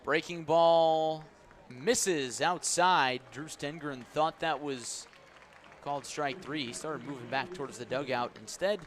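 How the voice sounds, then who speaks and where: A man doing live baseball play-by-play on the radio, mixing clipped pitch calls with conversational asides. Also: unhurried, a faint crowd. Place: outdoor baseball stadium. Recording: clear outdoor stadium ambient noise. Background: outdoor ballpark ambience.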